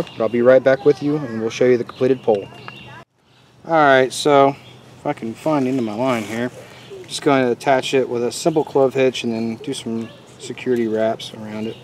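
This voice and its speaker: A man's voice: mid-range voice, moderate pace. Laid-back man demoing gear in the woods. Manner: relaxed, chatty, laughs easily. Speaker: outdoors channel host. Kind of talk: casual how-to demonstration. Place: outdoors in a leafy forest. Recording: faint outdoor background noise.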